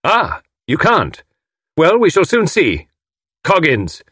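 Storybook villain, played by a man: foreign spy, suave, menacing, mocking, formal diction, lively intonation. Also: fairly high pitch, slow pace, breathy edge, no echo, clean studio sound, voice pushed up, full character voice. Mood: impatient, contemptuous. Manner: formal, precise, condescending.

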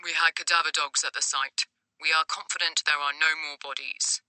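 Woman voicing a gruff, sharp-edged male police detective. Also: low-pitched, steady tone, no echo, poor audio. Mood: matter-of-fact. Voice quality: gruff, hushed. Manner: measured, official. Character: gruff male police detective giving grim news, matter-of-fact. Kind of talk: audiobook character dialogue.

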